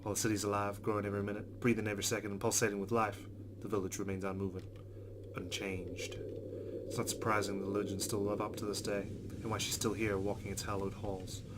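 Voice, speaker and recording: A man's voice: on the low side, level tone, quick pace, noisy background. A man hosting a let's play, reading visual novel narration aloud.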